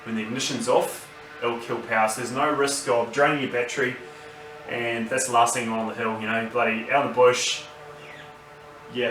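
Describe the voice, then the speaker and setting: A man with an Australian accent giving a casual, unscripted review, halting as he thinks aloud. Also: medium pitch, bright, forceful tone. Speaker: vlogger reviewing gear. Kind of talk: casual unboxing and review talk. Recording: roomy, distant mic, noisy background.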